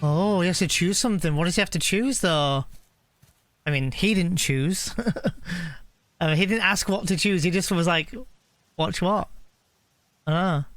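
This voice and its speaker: A man's voice: high-pitched, moderate pace, long pauses, fairly bright tone, no room sound. A man streamer giving casual live reaction commentary, musing and thinking aloud into a close mic.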